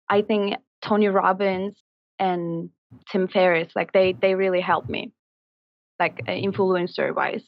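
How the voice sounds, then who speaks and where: A woman from Turkey speaks English with a Turkish accent, pausing often and filling gaps with "like" and "um" as she hunts for the right word. The audio is dry and slightly dull, as if coming over a modest lo-fi line. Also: mid-range voice, no echo. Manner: halting, searching for words, many likes and ums. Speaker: podcast guest. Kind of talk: casual interview chat.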